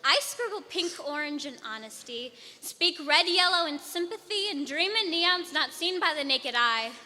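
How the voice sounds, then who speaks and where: A woman's voice: high voice, expressive, normal speed, pushed and bright. Teen woman reciting slam poetry in a hall. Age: teens. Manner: rhythmic, emphatic delivery. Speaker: slam poet. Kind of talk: spoken word duet performance. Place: auditorium stage. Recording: reverberant hall.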